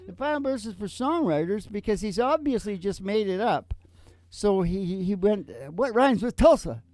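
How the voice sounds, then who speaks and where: A man's voice: high-pitched, very animated, a little mellow, no room sound. A man hosting a country music comedy show, chatting casually and joking between songs. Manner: joking, rambling, quick bursts. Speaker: country singer-songwriter and show host. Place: warehouse venue.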